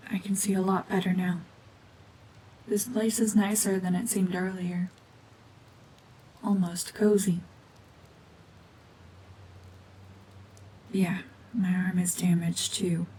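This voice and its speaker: A cold, battle-worn witch, played by a woman, speaks in a low, breathy hush. Her delivery is brooding and deliberate, with very little rise or fall in tone. It is a dramatic ASMR roleplay, with a faint low rumble in the recording. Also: natural voice, poor audio. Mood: guarded, slightly softening. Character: cold, mean, world-weary gorgon witch, war veteran cyborg.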